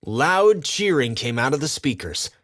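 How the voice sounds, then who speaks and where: A man's voice: mid-range voice, big pitch swings, a little slow, fairly bright tone, no room sound, clean studio sound. A man reading a scripted children's story as its narrator.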